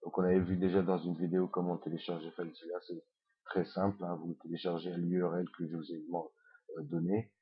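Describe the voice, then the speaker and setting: A man's voice: deep voice, level tone, fast, husky, muffled recording. A man with a head cold, giving a hesitant screencast tutorial as an online course instructor, with many fillers.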